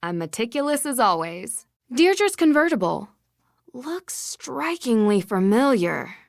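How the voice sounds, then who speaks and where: A woman playing a meticulous, inquisitive young amateur detective in a video game, speaking in a measured, articulate way. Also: a little high, sing-song, vocal fry, dry recording, studio quality, heavily acted. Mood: musing, friendly. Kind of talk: scripted video game voice acting.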